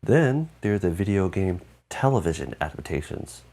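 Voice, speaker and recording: A man's voice: sits low, sing-song, moderate pace, darkish tone. A man co-hosting a video game show in a scripted presentation style.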